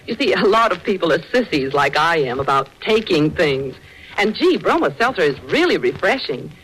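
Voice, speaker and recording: A woman's voice: on the low side, big pitch swings, normal speed, a bit bright, poor audio. A woman giving a chatty, folksy scripted endorsement as a spokesperson in 1940s radio advertising. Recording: old 1940s radio broadcast recording.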